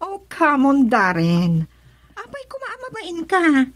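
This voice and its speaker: Young Ilocano female confidante for radio drama, very high voice, coaxing. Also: huge pitch swings, slow pace, exaggerated voice. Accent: Ilocano Filipino.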